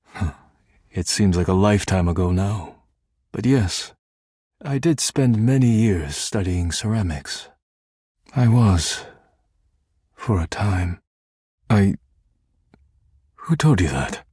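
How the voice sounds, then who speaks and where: A deep, heavily husky male voice, recorded close and dry, for a video game character. He is a reserved, melancholy perfectionist who speaks formally and carefully. Each phrase is measured and a little stiff. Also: darkish tone, dry recording, exaggerated voice. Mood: proud, earnest.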